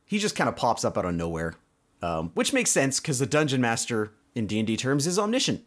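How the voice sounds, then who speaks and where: A man's voice: medium pitch, big pitch swings, brisk. A man hosting a podcast, casually recapping a cartoon episode in a conversational way with explanatory asides. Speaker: podcast host.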